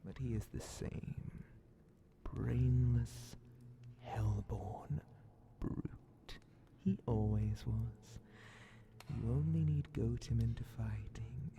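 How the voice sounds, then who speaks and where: A man voicing a scheming demon Grand Vizier in a whispered ASMR fantasy roleplay, sly, menacing and condescending, with breathy, theatrical, drawn-out phrasing. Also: background noise. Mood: scornful scheming. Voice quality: breathy whispering mixed with low gravelly creak.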